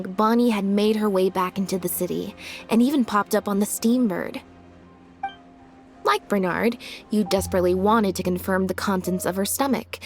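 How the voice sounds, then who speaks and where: A composed young woman detective as played by an actress lays out deductions in a video game. Her mid-pitched voice is measured, deliberate and articulate, with music underneath. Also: close to an everyday voice. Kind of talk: scripted video game dialogue. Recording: music behind the voice.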